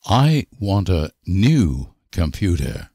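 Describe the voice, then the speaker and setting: A man reading short example sentences for language learners with deliberate, careful enunciation. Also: low-pitched, very animated, warm tone, dry recording, clean studio sound. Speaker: language teacher.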